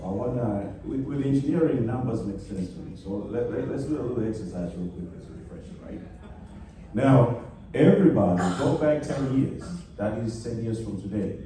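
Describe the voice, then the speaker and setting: A man's voice: medium pitch, warm tone, slight background noise. Man from Tanzania, panel speaker, talks a crowd through numbers; far mic, echoey hall, audience behind. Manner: leads the room through a number exercise, checking in often. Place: event hall.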